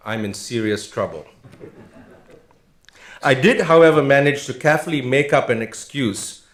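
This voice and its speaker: A middle-aged man giving a formal speech of introduction as a host, telling anecdotes along the way. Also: mid-range voice. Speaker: host introducing a guest lecturer. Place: indoor public lecture event. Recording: roomy, echoing event venue sound.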